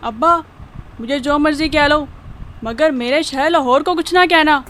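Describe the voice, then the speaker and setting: A woman's voice: high-pitched, a little slow, a bit bright. A woman voicing a cheeky, defiant young schoolboy who talks back, in an Urdu radio drama. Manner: defiant, backtalking. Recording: faint background noise.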